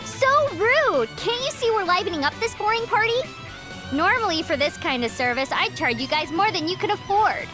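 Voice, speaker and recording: A cheeky, money-grubbing girl villain with a very high, swooping voice. She is sassy and showy, with game music behind her. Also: big pitch swings, moderate pace. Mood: indignant, sassy. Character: cheeky, greedy, peppy young girl villain. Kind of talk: anime game voice acting.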